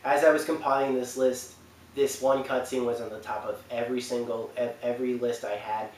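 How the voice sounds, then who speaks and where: A man's voice: mid-range voice, level tone, fairly quick. A man hosting a countdown with casual, unscripted commentary, recorded at a distance in an echoey room with faint noise. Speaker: video countdown host.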